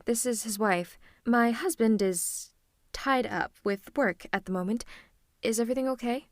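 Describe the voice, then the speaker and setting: A woman playing a teasing, theatrical supervillain who is fond of her nemesis, in an intimate ASMR roleplay. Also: mid-range voice. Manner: coaxing, drawn-out endearments and monologues.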